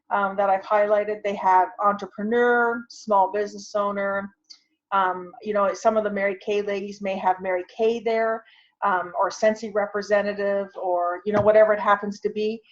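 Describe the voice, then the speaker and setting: Casting: female online instructor, mid-range voice, informal and conversational, heard through a dull lo-fi video call. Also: medium pitch. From Canada. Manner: conversational, thinking aloud as she demonstrates. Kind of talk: informal tutorial with screen sharing. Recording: muffled, lo-fi video call audio.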